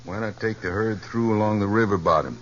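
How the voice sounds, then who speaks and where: An American actor playing a wary, plain-spoken cowhand in a 1950s radio western. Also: male voice, on the low side, a little fast, a bit bright, natural voice. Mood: matter-of-fact. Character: level-headed, wary male cowhand. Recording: dull, faintly hissy 1950s radio broadcast.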